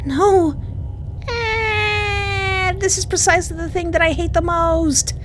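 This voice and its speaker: A woman's voice: extremely high pitch, steady tone, slow pace, a bit bright, soft background music, voice pushed up, full character voice. A woman voicing a terrified female captive in a visual novel, pleading and wailing with melodrama. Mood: panicked.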